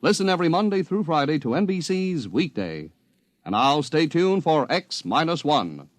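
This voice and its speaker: A man's voice: a little high, sing-song, normal speed, no echo. A man reading a 1950s radio network promotion in an upbeat, salesy manner. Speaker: network commercial announcer.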